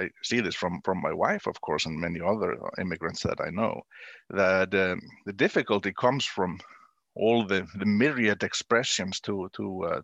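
An Icelandic man in his fifties, native in Icelandic, thinking aloud haltingly in a low voice as a guest in a dry-sounding radio studio. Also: a bit bright, dry recording, muffled recording. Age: 50s. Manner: halting, often repeating words. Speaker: interview guest, university dean. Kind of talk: conversational radio discussion.

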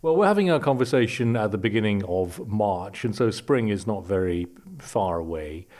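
A man hosting an interview podcast, asking friendly, unhurried questions and often restarting his sentences. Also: lowish voice, lively intonation, darkish tone. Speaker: podcast host. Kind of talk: conversational interview.

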